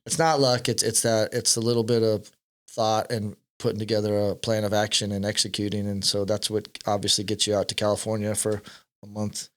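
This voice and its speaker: Middle-aged guy hosting a podcast, smooth clear voice, teasing his guest a lot. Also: on the low side, level tone, brisk. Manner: joking, ribbing his guest. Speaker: podcast host. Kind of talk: casual podcast chat. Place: podcast studio in California.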